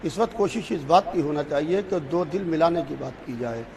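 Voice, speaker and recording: Cleric guy on a tv debate, calm and slow to the point, slightly high voice, faint hiss behind. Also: gravelly. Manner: calm, deliberate, repeats affirmations. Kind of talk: measured TV debate statement.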